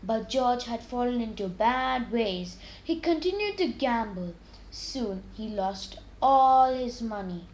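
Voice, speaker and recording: Female children's story reader, high-pitched, expressive and deliberate, scripted read-aloud delivery. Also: poor audio.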